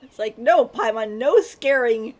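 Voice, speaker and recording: A woman's voice: on the high side, lively intonation, measured pace. A woman giving casual live commentary as she plays a game, giggly and thinking aloud. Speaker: game streamer. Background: game music.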